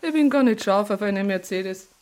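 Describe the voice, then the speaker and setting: A woman's voice: medium pitch, expressive, moderate pace, a little mellow, close to an everyday voice. Radio play: actress as disillusioned, independent woman, flirtatious and blunt, dry delivery, natural mid-range voice. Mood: dry teasing. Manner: dry, teasing, frank. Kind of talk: naturalistic radio drama acting.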